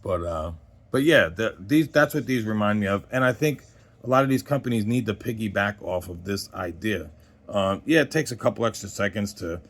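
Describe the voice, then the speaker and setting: A man in his forties giving a casual, unscripted gear review as host, in a rambling conversational way that trails off mid-thought. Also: on the low side. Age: 40s.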